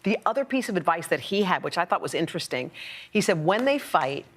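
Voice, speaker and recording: A woman talk show host with a low-pitched voice. She chats casually and retells things in a conversational, involved way, often slipping into quotes. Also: very animated, brisk.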